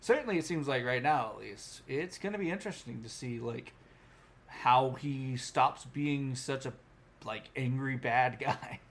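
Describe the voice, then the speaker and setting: A man's voice: medium pitch, measured pace. Casual male podcaster with mid-range voice. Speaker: podcast co-host. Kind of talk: casual chat about anime.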